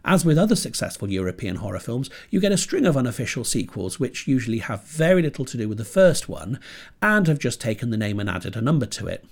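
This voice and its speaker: A man from Britain hosts his horror podcast from his computer desk at home, with a stuffy nose from a cold. His pitch rises and falls a lot. His scripted delivery is dry and self-deprecating. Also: very animated, fast talker, vocal fry, slightly rough. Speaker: podcast host.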